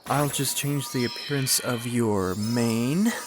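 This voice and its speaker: A man's voice: mid-range voice, slow pace, natural voice. A man playing an earnest, eager young unicorn student of magic in a fan radio drama. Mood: friendly, explaining. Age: young adult.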